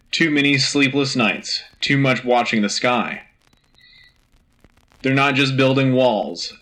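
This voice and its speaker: An earnest wartime news anchor, acted by a man reading bulletins for a radio drama. The voice is mid-pitched, bright and forceful, with clipped, solemn, dramatic phrasing. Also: low-quality recording. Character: Joe Turner, earnest propaganda-era war news anchor. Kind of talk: scripted wartime news broadcast acting.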